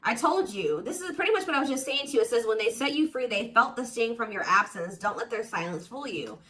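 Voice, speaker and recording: Bright-voiced woman reading tarot casually. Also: fairly high pitch, lively intonation, fast talker. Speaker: tarot reader, host. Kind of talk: casual intuitive tarot card reading, speaking to viewers.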